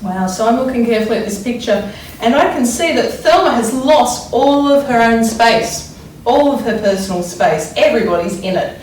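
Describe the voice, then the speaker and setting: A woman teacher reading a storybook aloud to young children, expressively and deliberately, pausing to wonder aloud about it. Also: fairly high pitch, lively intonation, a little mellow. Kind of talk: expressive children's read-aloud with think-aloud explanations. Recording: echoey room, distant mic, faint noise.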